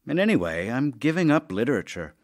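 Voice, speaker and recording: A man's voice: medium pitch, sing-song, fairly quick. A man playing a middle-aged writer, wry, teasing and affectionate, in a theatrical audio drama. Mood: wry, tender. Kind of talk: audio drama acting, letters read as speech.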